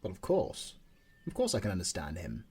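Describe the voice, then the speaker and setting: A British man playing an earnest, protective demon hunter in a fantasy audio roleplay, speaking in an animated, natural and familiar way. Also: deep voice, brisk, breathy edge, exaggerated voice. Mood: friendly teasing.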